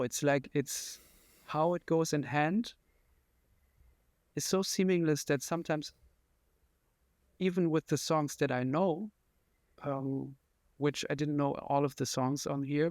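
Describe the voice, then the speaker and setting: A man's voice: on the high side, steady tone, slow pace, long pauses, a little mellow, no echo, studio quality. A man hosting an interview, talking in an enthusiastic, admiring way as he thinks aloud. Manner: enthusiastic, admiring, talks through his thoughts. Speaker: interview host. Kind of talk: casual conversation.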